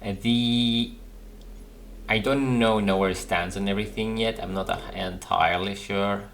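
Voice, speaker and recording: Gaming host: man, deep register with heavy fry, casual musing commentary, slight noise behind. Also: fairly even intonation, measured pace, a bit bright. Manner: musing, thinking aloud.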